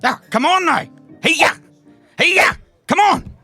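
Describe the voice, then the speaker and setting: A man playing an excitable, cocksure amateur Irish self-defence teacher in a comedy audio drama, blustering and insistent. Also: very high-pitched, takes it slowly, gravelly, soft background music, heavily acted. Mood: urging, excited.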